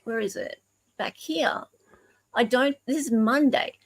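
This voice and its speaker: A woman with an Australian accent co-hosting a casual discussion of legal emails, explaining things in a halting, self-correcting way. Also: a little high. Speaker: podcast co-host.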